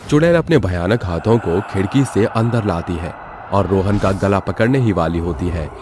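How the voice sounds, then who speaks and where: A man's voice: deep voice, expressive, moderate pace, over music. A man narrating a scripted horror moral tale as a storyteller.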